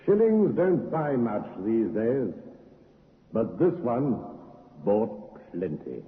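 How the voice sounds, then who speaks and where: An actor playing a brisk, dry-witted Scotland Yard inspector in a 1950s radio drama, speaking in a husky voice. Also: male voice, medium pitch, exaggerated voice. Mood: dry, commanding. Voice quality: husky, lively. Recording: muffled vintage radio broadcast.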